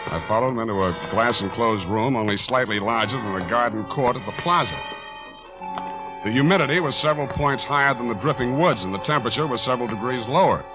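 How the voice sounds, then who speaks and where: An actor playing a sardonic, hardboiled private detective, wisecracking and narrating in 1940s radio style. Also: male voice, mid-range voice, fast, natural voice. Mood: wry, atmospheric narration. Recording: dull 1940s radio recording, music behind.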